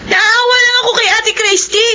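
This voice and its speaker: Young sister, piercingly high, bright, pert, outspoken, radio drama, dull recording. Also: female voice, steady tone, normal speed, full character voice. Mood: pert, sympathetic. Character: outspoken, caring young sister scolding older brother.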